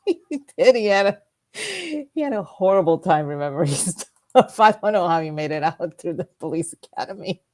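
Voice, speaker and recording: A woman's voice: on the low side, big pitch swings, normal speed, pauses often, darkish tone. A middle-aged woman co-hosting a radio talk show, telling rambling personal stories and laughing often. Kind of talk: casual storytelling chat. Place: radio studio.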